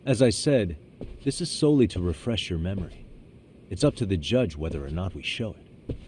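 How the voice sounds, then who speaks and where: A man's voice: sits low, animated, warm tone, a little hiss or hum. An actor playing a composed, persistent defense lawyer in his thirties, speaking formally in a courtroom drama game. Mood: composed, firm. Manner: measured, formal, insistent.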